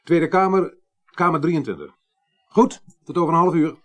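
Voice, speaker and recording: A man's voice: fairly high pitch, lively intonation, moderate pace, fairly bright tone. A man playing a polite, businesslike, experienced police inspector with a Netherlands accent in a Dutch radio drama. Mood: brisk, pleased.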